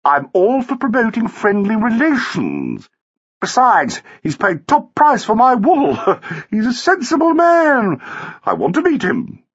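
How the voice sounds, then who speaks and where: A man narrating a story and voicing a sprightly, flamboyant society wife, shrill, affected and gushing. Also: huge pitch swings, measured pace, husky, highs cut off, exaggerated voice. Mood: insistent, teasing.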